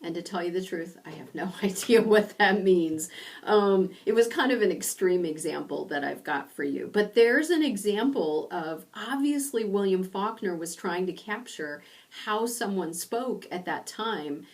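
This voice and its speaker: A middle-aged woman from rural Indiana giving a recorded online lecture from her home study, explaining slowly with pauses. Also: mid-range voice, lively intonation, far from the mic. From rural Indiana, USA. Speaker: university lecturer.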